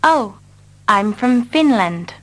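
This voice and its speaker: A woman repeating short English dialogue lines slowly and carefully for learners. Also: a little high, lively intonation, fairly bright tone. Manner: carefully repeats each line. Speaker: English teacher. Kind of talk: slow scripted reading for learners.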